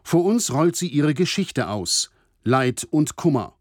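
An actor playing a formal radio reporter who narrates a trial report in a measured, descriptive way. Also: male voice, a little high, sing-song, no room sound, studio quality, full character voice. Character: male radio reporter covering a war-crimes trial.

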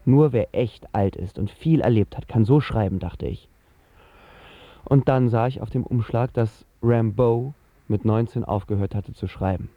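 A man's voice: lowish voice, measured pace, dull audio. An actor playing a lovestruck, self-doubting seventeen-year-old boy who tells his story in casual slang for a radio drama. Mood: brooding, restless. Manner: slangy, colloquial. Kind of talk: scripted first-person radio drama narration.

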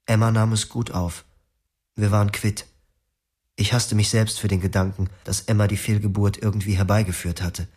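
Radio drama lead: troubled young husband, guilt-ridden, confessional, lower register. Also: male voice, level tone, moderate pace, darkish tone, slightly rough. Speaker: actor, first-person narrator. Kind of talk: literary radio drama narration and dialogue.

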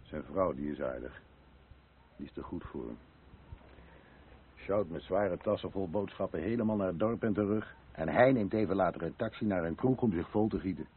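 A man's voice: low-pitched, normal speed, slightly rough. An actor playing a dry, disapproving male neighbour in a naturalistic radio play, speaking curtly and bluntly. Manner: curt, blunt. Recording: muffled narrow-band old radio recording.

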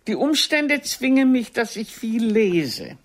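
An actress in a radio play playing a vain, imperious ageing stage star, husky, grand and cutting in manner. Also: female voice, on the high side, sing-song, slow pace, full character voice. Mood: probing, superior. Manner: grand, theatrical, cutting, self-important.

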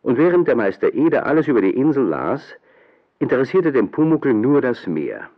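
A man's voice: mid-range voice, very animated, moderate pace. A man narrating a children's radio play, reading a scripted story. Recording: dull, lo-fi radio play recording.

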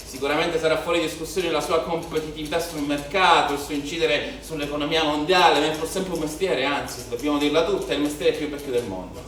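A man's voice: on the high side, fairly bright tone, close to an everyday voice. Actor as brooding policeman in echoey theatre. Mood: declamatory. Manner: declamatory, rhetorical repetitions, dramatic pauses. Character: weary young southern Italian policeman, shepherd's son, brooding and bitter. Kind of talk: theatrical stage monologue. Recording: very echoey theatre, distant mic, faint noise.

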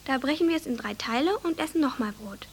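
A very high, childlike voice playing a bossy little village girl in a radio play. She is earnest and eager, forever instructing, with a little noise behind her. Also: expressive, fast. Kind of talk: German radio drama acting.

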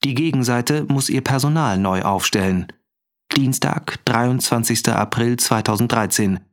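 A man's voice: mid-range voice, normal speed. A man reading scripted, headline-like announcements in a factual, clipped manner. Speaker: announcer of scenes.

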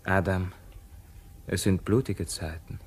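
A man's voice: low voice, steady tone, slow, a little mellow, slightly rough, exaggerated voice. An actor playing a proud, defiant, haunted king in a theatrical radio play, declaiming commands with sudden outbursts. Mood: grim musing.

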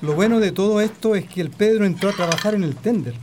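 A woman's voice: medium pitch, fast, lightly acted. A woman playing a grumbling working-class father, proud of his son, in a radio drama. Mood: pleased, opinionated. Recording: noisy recording with clicks.

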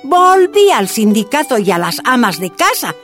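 Activist woman, resolute, highish lively voice, testimony, faint music. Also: normal speed. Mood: reflective, resolute. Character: Bolivian activist woman recounting her life, resolute. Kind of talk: first-person testimony in radio drama.

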